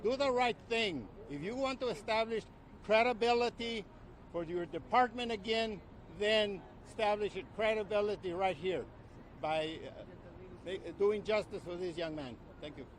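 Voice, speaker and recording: A man, a community activist, gives a measured statement at a press conference, stumbling over his words at times. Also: high voice, a little hiss or hum.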